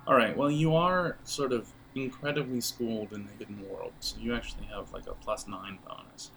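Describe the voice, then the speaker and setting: A man acts as game master for an audio role-playing game, describing scenes in a loose, halting, conversational way. His voice sits at an average pitch, and music plays clearly behind him. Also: fairly even intonation, a bit bright, poor audio. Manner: halting, searching for words, conversational.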